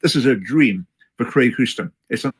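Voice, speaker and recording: A man speaking in a measured, hesitant way in a video commentary, with many fillers and restarts. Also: mid-range voice, expressive, breathy edge. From Scotland. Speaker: commentator in a played clip.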